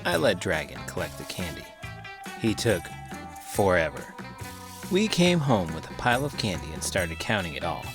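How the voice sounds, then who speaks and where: A man with a low voice hosts storytime for children. His delivery swings widely in pitch, playful and expressive, with music playing behind him. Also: normal speed. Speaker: host of a children's read-aloud channel. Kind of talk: lively children's storytelling and chat.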